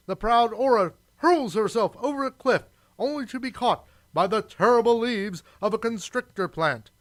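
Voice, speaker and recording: A man's voice: high voice, fairly bright tone. A man narrating an adventure radio serial in a dramatic, theatrical storytelling style.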